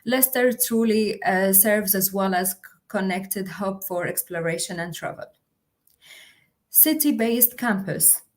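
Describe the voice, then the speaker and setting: A woman's voice: mid-range voice, a little slow. A young woman, a university officer, presenting a livestream with many fillers and restarts. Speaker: international officer.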